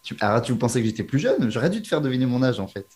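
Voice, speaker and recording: A man in his twenties from southwest France, a relaxed and friendly guest in a casual interview. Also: mid-range voice, fast. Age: 20s.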